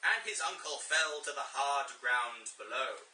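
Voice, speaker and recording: A man's voice: medium pitch, expressive, measured pace, sharp tone. A man reads a children's storybook aloud to friends in a playful, dramatic, jokey way. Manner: dramatic, jokey, mock-teacherly asides. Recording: echoey room, distant mic, faint noise.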